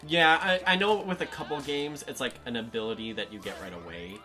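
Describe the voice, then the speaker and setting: A man's voice: medium pitch, fairly quick, pushed and bright. A man playing a video game and chatting casually, somewhat hesitant, with short muttered exclamations. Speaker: gaming show co-host playing the game. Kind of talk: casual let's play chat. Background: video game music.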